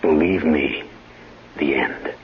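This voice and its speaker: An actor playing a cold, scheming husband in a radio drama, controlled and menacing in short clipped phrases. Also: male voice, a bass voice, slow, slightly rough, soft background music, heavily acted. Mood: cold, commanding. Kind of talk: old-time radio drama acting. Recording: dull old radio recording, humming noise.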